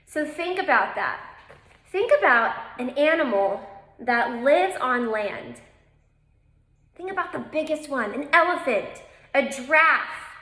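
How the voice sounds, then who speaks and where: Woman, elementary teacher, for a children's read-aloud; unhurried, instructive delivery speaking straight to kids, in an echoey room. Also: high voice, bright, forceful tone. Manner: instructive, addresses young listeners directly. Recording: roomy, echoing indoor sound.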